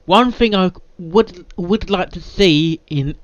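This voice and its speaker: A person giving casual, unscripted reaction commentary in an excitable, halting way, often repeating words. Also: medium pitch, dull audio, slight background noise. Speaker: reaction video maker reviewing a fan fiction reading.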